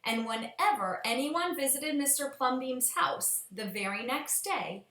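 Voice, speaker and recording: A woman reading a children's storybook aloud as a storyteller, with expressive, measured phrasing. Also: high voice. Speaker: storyteller, teacher. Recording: echoey room.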